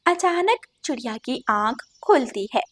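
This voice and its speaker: A woman's voice: high voice, big pitch swings, measured pace, a bit bright. A woman narrating a scripted cartoon story as the storyteller.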